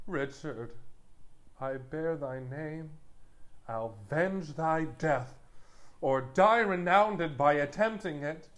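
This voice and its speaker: An actor playing a proud young nobleman bent on revenge, declaiming Shakespearean verse deliberately. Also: a little high, a bit bright. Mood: vengeful, defiant.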